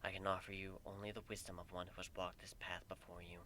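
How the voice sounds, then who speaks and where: Man voicing a hushed, solemn pony princess. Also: deep voice, little pitch movement, a little hiss or hum, pitched below the performer's own voice. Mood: grave warning. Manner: hushed, breathy, solemn and slow. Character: sorrowful, wise winged pony princess, female goddess. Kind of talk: dramatic fan fiction reading.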